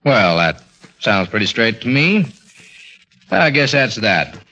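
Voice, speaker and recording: An actor playing a steady, probing homicide detective with matter-of-fact, clipped questioning in a 1940s radio drama. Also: male voice, on the low side, slow pace, lo-fi sound, heavily acted. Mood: probing, polite.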